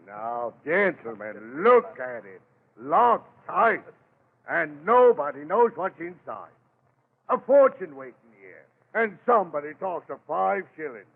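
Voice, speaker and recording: Gravelly, theatrical auctioneer. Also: male voice, on the high side, very animated, a little slow, heavily acted. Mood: grandiose, coaxing. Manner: theatrical salesman patter. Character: wheedling, pompous English auctioneer hiding sinister knowledge. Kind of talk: old-time radio horror acting. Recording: muffled, narrow-band vintage radio recording.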